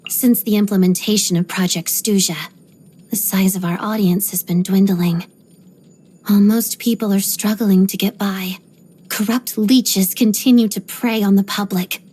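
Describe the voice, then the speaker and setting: Casting: a stoic young female ballerina for a video game; soft, husky-breathy voice, restrained and precise, introspective monologues. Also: medium pitch, fairly even intonation. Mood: stoic, resolute. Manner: measured, restrained, articulate. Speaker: video game character voice actor.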